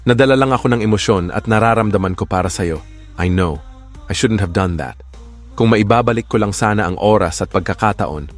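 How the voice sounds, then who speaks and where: A remorseful young husband voiced by a man pleads softly for his wife's forgiveness. His voice is low and gentle. Quiet music plays under him in this audio drama. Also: fairly quick, close to an everyday voice. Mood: pleading. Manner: soft, pleading, mixes English into Tagalog.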